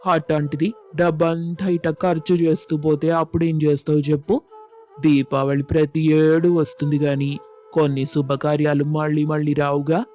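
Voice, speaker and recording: Man voicing a flat-toned, careworn father. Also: fairly high pitch, lightly acted. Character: frugal, careworn village farmer father, firm but loving. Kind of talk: scripted moral story dialogue.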